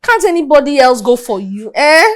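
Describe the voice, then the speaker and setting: An actress playing a blunt, teasing friend who argues back. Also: female voice, sits high, expressive, normal speed.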